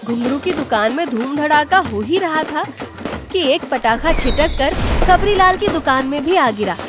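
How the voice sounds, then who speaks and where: A woman narrates a cartoon story from a script. Her voice sits high in pitch, and she delivers it as storytelling. Music is clearly present behind her. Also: animated, moderate pace.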